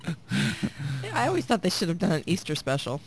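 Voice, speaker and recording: A woman co-hosting a humor radio show in casual banter, a little hoarse after a late night and laughing often. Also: on the low side, very animated, fairly quick, a little hiss or hum. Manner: laughs often, playful teasing. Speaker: radio co-host.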